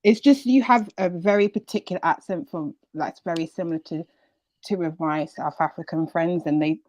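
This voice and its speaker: A woman's voice: on the low side, fairly quick, darkish tone. A woman joining as a call-in participant, asking questions in an inquisitive, polite way. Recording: muffled lo-fi call audio.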